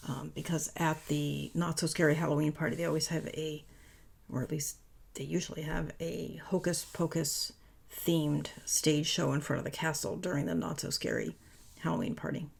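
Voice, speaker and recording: A woman with a raspy, worn-out voice, chatting her way through a papercraft tutorial voice-over in a rambling, self-correcting way. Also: deep voice, steady tone, normal speed. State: voice worn from talking all day.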